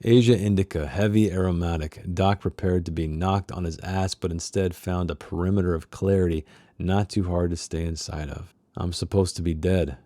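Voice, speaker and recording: A man with a lowish, very mellow voice, close and dry with no room sound. He reads fiction aloud as a book club host, slipping into informal asides as he goes. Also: fairly even intonation, moderate pace, dry recording. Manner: informal asides, acts out character dialogue. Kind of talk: reading a novel aloud with casual commentary.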